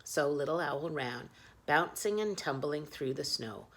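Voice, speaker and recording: A woman's voice: low-pitched, animated, unhurried, a bit bright. A woman reading picture books aloud expressively as a children's storyteller.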